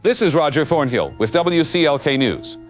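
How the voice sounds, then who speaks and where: A man playing a radio news anchor in an audio drama, reading a grave bulletin in a formal, measured way. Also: on the high side, expressive, natural voice. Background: music under the voice.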